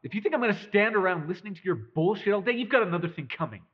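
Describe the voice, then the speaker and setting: Protective hero brother , played by a man, audio roleplay: high-set voice, swinging widely, defiant and desperate, slightly dull sound. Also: expressive, racing. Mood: furious threat. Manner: defiant, urgent, swearing, emotional. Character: angry, desperate, protective demon brother of villain.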